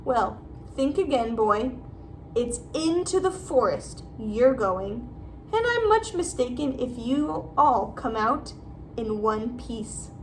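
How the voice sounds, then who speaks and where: A woman's voice: a little high, sing-song, takes it slowly, a little hiss or hum, poor audio. A woman voicing a nasty, gleeful old male school caretaker in a storybook. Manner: leering, menacing.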